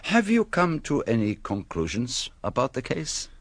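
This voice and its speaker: A man playing a courteous, shrewd foreign detective in a radio drama, formal and deliberate with quaint phrasing. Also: mid-range voice, wildly swooping pitch, no room sound, exaggerated voice. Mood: thoughtful, probing.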